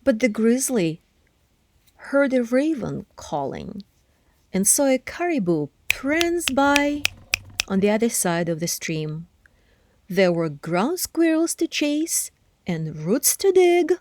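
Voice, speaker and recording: A woman narrating a children's story aloud expressively, with deliberate phrasing and hushed, breathy word endings. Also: a little high, big pitch swings, dry recording. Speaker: storybook reader.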